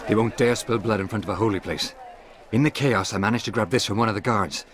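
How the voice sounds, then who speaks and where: Actor as a fast-talking, harried informant man. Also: on the low side, a bit bright, slight background noise, lightly acted. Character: timid, harried informant, a worn-out former fighter. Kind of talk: video game character dialogue.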